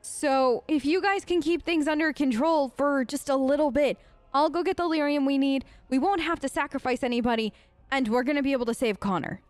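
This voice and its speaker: A woman's voice: high-pitched, brisk, dry recording. A woman playing through a role-play game, voicing her choices in a casual, chatty and informal way. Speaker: let's play streamer.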